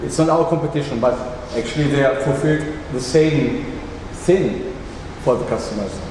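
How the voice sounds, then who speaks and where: Audience man, halting speech, long reverb, faint hiss. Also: mid-range voice. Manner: halting, searching for words. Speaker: workshop participant. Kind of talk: audience comment. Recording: echoey hall, faint noise.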